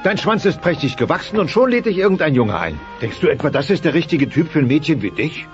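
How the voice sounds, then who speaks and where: A man's voice: a little high, sing-song, quick pace. A voice actor playing a mighty, pompous dinosaur father, blustering and sentimental, in a German dubbed family sitcom audio play. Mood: protective, gentle. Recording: dull cassette transfer with music.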